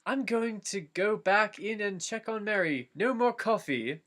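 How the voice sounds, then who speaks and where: A man's voice: high voice, animated, unhurried, a bit bright, pitched above the performer's own voice. A man voicing a fussy, bossy young hobbit who exclaims and scolds, in an amateur story reading. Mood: scolding. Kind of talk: amateur dramatic fan-fiction reading.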